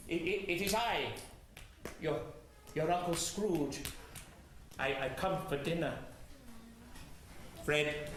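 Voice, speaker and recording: A man voicing an old miser who has suddenly turned giddy and kind, stammering and laughing between his words. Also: fairly high pitch. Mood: timid. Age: older. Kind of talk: storytelling with voiced character. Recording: very echoey room.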